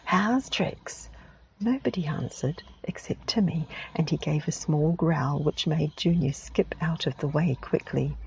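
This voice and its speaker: Low soft-spoken woman whispering a children's story, gravelly fry, close mic. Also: moderate pace, few pauses, slight background noise, low-quality recording. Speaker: ASMR reader. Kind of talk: whispered ASMR storybook reading.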